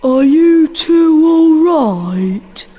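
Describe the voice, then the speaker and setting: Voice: high voice, lively intonation, extremely slow, warm tone, exaggerated voice. An actor playing a kindly, earnest schoolboy wizard hero in a video game, polite and hesitant. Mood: concerned.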